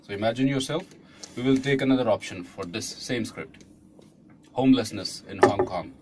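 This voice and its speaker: Male studio coach, Indian accent, medium pitch, casual halting direction, slight noise behind. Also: darkish tone. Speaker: voice-over coach. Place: recording studio.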